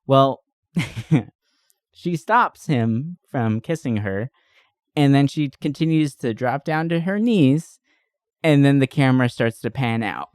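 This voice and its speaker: A man's voice: mid-range voice, clean tone, no echo, studio quality. A man hosting a podcast, chatting casually about films in a rambling, profanity-laden way. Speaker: podcast host. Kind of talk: casual movie discussion.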